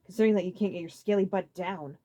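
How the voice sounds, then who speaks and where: A woman puts on a sardonic older male creature from a cartoonish tale. His tone is gravelly and dry as he needles his younger brothers. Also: fairly low pitch, fast talker, mellow, lightly acted. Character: sardonic older turtle brother, easily alarmed.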